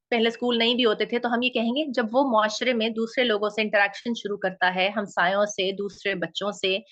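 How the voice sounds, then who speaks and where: A woman's voice: a little high, a bit bright. Pakistani Urdu-speaking woman explaining, lo-fi. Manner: frequent "okay?" checks, mixing in English phrases. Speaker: linguistics researcher. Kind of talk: explanatory talk. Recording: dull lo-fi audio.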